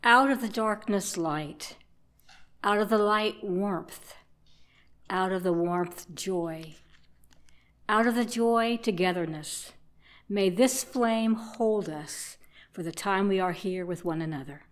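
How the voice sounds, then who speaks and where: A woman reading a short poetic ceremonial text deliberately, pausing between brief phrases. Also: medium pitch. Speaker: chalice-lighting reader.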